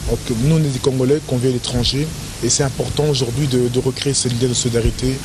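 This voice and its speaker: A man's voice: mid-range voice, steady tone, fast. A man in a field interview, laying out his points in order. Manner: structured, counting his points. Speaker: interviewee, supporter. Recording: noisy outdoor recording.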